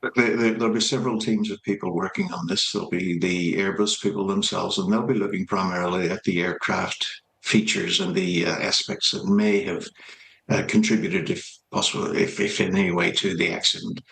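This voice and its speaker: A man with a low, gravelly voice explains things as an expert in a news interview. He speaks in a halting, measured manner, and the room echoes around him. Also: fairly even intonation, fairly bright tone. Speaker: aviation expert being interviewed.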